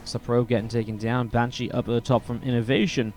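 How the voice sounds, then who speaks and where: A man commenting play by play on a strategy video game match, a little sniffly from a cold, steady and then more excited in the fights. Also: medium pitch, fast talker, faint music behind. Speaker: esports caster.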